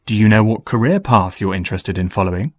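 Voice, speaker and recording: A man playing a busy, friendly young business student in a scripted, clearly enunciated listening-test dialogue. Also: on the low side, fast talker, darkish tone, no room sound, close to an everyday voice. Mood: earnest, friendly.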